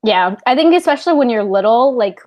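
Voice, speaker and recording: A woman co-hosting a casual book club livestream, relaxed and chatty, with lots of "like" in her talk. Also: on the high side, a little fast. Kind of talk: casual chat.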